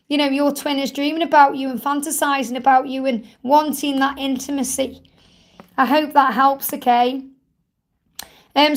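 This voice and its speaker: A woman with a slightly croaky voice hosting a live spiritual card-reading stream, gently reassuring viewers and calling them honey. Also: high-pitched.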